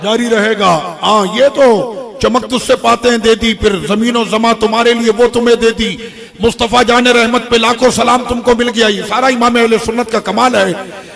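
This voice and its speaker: A man's voice: extremely high pitch, fairly even intonation, moderate pace, gravelly, fairly bright tone. A man preaching an impassioned sermon, stacking repeated phrases as he builds to his points. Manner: impassioned, repetitive rhetorical build-ups. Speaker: Islamic preacher.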